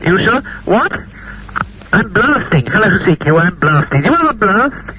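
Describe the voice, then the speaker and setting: An actor playing a flustered, jokey 1920s radio announcer, hesitant and rambling in a recreated early broadcast. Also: male voice, sits high, sing-song, measured pace, pushed and bright, heavily acted. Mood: excited fussing. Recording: dull radio sound, crowd noise behind.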